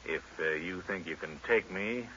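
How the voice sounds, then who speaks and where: A man's voice: low voice, moderate pace, bright, forceful tone. An actor playing a big, unruffled gunman making laconic threats in an old-time radio noir drama. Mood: calm menace. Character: big, cool, menacing male gunman. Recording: hissy, dull vintage radio recording.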